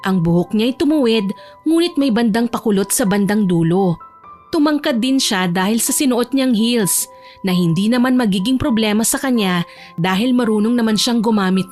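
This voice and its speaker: High-pitched woman narrating over music. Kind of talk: scripted story narration. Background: soft music.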